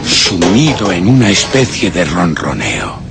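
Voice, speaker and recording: Low-voiced, vengeful murderer, animated pitch. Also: male voice, measured pace, gravelly, over loud music, heavily acted. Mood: cold, sinister. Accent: Castilian Spanish. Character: cold, vengeful male murderer recounting his crime. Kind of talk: dramatic first-person radio horror narration.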